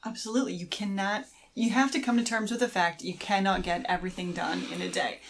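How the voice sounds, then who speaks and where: A woman's voice: mid-range voice, steady tone, fast talker, vocal fry, slight background noise. A middle-aged woman chatting as a podcast co-host, agreeably explaining and giving casual advice. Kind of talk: casual conversational advice on creativity.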